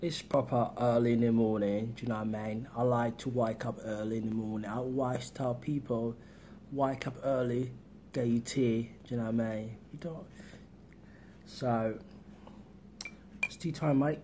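A laddish Cockney man rambles through a comic monologue, checking in with his listener again and again. His voice sits mid-range with a mellow, relaxed warmth. A faint ambient hum lies under him. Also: medium pitch, normal speed. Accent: London Cockney. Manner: laddish and chatty, keeps asking "you know what I mean" and saying "mate". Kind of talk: comic casual monologue to camera.

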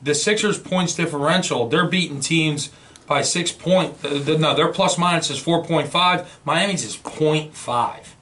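A man's voice: on the high side, measured pace, fairly bright tone. An American man debating sports casually on a podcast, with an emphatic, argumentative, informal way of talking. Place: small indoor podcast studio. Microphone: boom-arm studio microphone with foam windscreen.

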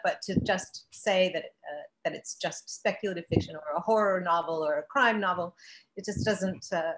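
A woman's voice: on the low side, a bit bright, poor audio. A woman moderating a panel over a video call, speaking fluently and articulately. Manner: articulate, fluent, lightly emphatic. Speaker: moderator and translator.

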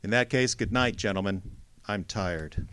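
An actor playing a world-weary, wry private detective in a radio drama, blunt and dry in manner. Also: male voice, lowish voice, normal speed, lightly acted. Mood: tired, curt. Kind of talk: old-time radio detective drama acting.

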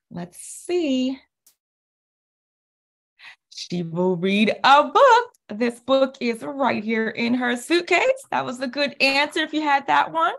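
Woman, 30s, American. Expressive, encouraging children's educator, higher pitch with creaky edges. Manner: clear, encouraging teacher talk, expressive. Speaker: speech pathologist and children's author. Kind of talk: children's read-aloud with questions. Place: small indoor office, on a video call.

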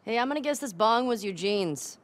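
A woman's voice: a little high, animated, a little fast, lightly acted. An actress playing a guarded young woman survivor with a wry, understated manner in a video game drama. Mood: low-key. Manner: dry, understated, sarcastic. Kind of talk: naturalistic video game acting.

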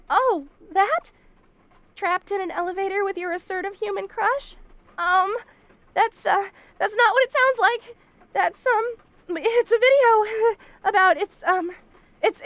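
A cute bunny girl roommate with an extremely high, girlish pitch. She stammers bashfully and dodges nervously, speaking straight to the listener in a roleplay audio. The sound is dry and close, with no room on it. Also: fairly bright tone, no echo. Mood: panicked, flustered. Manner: stammering, nervous, flustered denial.

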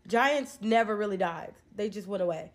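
A woman's voice: medium pitch, lively intonation, moderate pace. Young woman, 20s, casual phone-to-camera commentary; emphatic, profane, incredulous. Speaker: social media commentator. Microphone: phone held close, selfie framing.

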